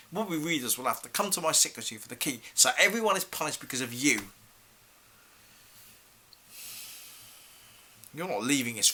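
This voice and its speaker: Sharp, exasperated male head teacher, played by an actor. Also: mid-range voice. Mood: stern, exasperated. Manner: accusing, formal, clipped rhetorical questions. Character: stern, exasperated head teacher scolding a silent pupil. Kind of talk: theatrical monologue for an acting showreel.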